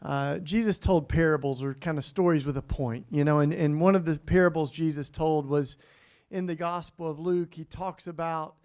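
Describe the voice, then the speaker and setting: A man speaking as a guest, telling a relaxed, folksy story that turns to scripture. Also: fairly high pitch, moderate pace, clean tone. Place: indoor event venue.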